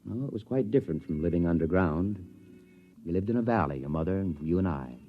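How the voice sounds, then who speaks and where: An actor playing an anxious, cautious middle-aged scientist father in an old radio drama, speaking in a measured, paternal, reproving way. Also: deep voice, expressive, soft background music. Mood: wistful, resigned. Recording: dull, lo-fi old radio recording.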